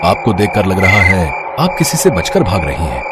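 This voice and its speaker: A man voicing a smitten young man who is gentle, earnest and reassuring. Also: low-pitched, huge pitch swings, normal speed, very noisy. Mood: concerned, reassuring.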